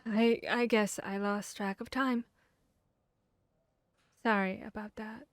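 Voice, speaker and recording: A woman's voice: mid-range voice, fairly even intonation, slow, dry recording, lightly acted. Woman acting as earnest, bookish vampire hunter, dry close sound. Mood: flustered. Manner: hesitant, thinking aloud, conversational. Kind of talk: ASMR audio roleplay acting.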